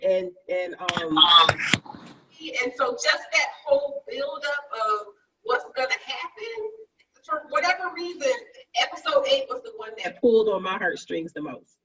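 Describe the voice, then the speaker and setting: A woman's voice: high voice, fairly bright tone. A woman talking expressively in a casual video-call chat, searching for words, with distant, dull audio. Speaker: cast member on a panel.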